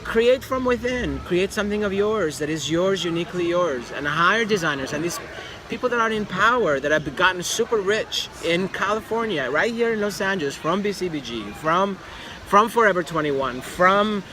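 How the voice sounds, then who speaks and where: A man's voice: high-pitched, animated, normal speed. A man from Albania talking casually as an interview guest, emphatic and repeating phrases for stress. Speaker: fashion designer, interview guest. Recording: noisy outdoor street with traffic.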